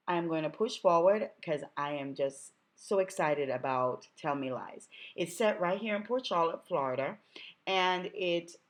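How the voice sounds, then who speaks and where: A Jamaican woman who is a little under the weather, reading her own novel aloud in a chatty, enthusiastic way. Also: low-pitched. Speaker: author.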